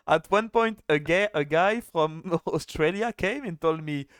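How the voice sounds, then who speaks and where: A man's voice: fairly high pitch, a bit bright, clean tone, no room sound, clean studio sound. A French man casting a strategy game in casual chat, laughing often and restarting his sentences. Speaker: game caster.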